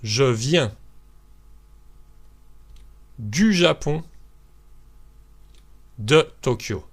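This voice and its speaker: A man from France teaching a beginners' French lesson, speaking model sentences slowly and deliberately for learners to repeat. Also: mid-range voice, expressive.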